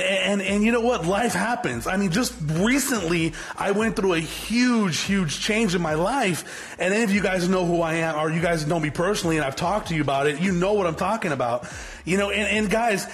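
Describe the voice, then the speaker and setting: A man in his forties giving an unscripted motivational talk to his viewers. He talks in a blunt, fiery way, swears freely and often restarts his sentences. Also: sits high. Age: 40s. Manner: rambling, repetitive, frequent swearing and restarts. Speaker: motivational vlogger, business owner.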